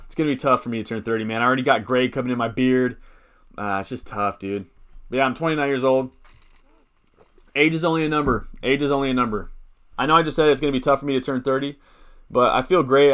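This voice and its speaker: Chatty man in his late twenties. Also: medium pitch. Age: 20s. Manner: rambling, thinks aloud with many restarts and fillers; chats with viewers, gives shout-outs. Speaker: fantasy football streamer. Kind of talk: casual live stream chat.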